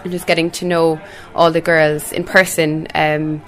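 A young woman with an Irish Cork accent, a pageant contestant talking in a halting way with many fillers. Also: low voice, level tone, low-quality recording. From Ireland. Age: young adult. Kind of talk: casual interview chat.

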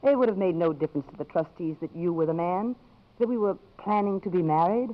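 An actress playing a poised, strong-willed middle-aged career woman in a 1950s radio drama, crisp and commanding, hesitating when moved. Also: lowish voice, brisk, lightly acted. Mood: rueful, tender. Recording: muffled vintage 1950s radio recording.

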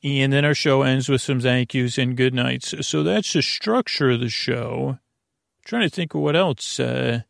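Man, podcast host, mid-pitched, creaky voice, halting and digressive, bedtime monologue. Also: no echo, clean studio sound.

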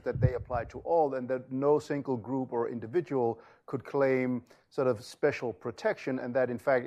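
A man with a gravelly edge to his voice answers on a discussion panel. He is an author, earnest and analytical, and he often pauses and restarts as he builds his argument. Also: mid-range voice, a little mellow. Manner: earnest, analytical, searching for words.

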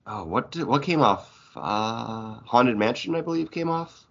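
A man's voice: medium pitch, expressive, a little slow, creaky, a bit bright, highs cut off. A man co-hosting a podcast, chatting casually about films with other people. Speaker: podcast co-host.

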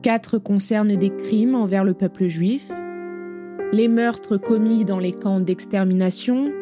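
A woman narrating in a clear, explanatory documentary style. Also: mid-range voice.

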